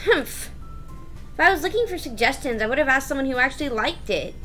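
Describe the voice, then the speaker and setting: Prickly little schoolgirl for visual novel, played by a woman: bratty, very high-pitched, snappish, soft music behind. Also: lively intonation, fairly quick. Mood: huffy, snappish. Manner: blunt, huffy, snappish. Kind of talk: visual novel voice-over read aloud.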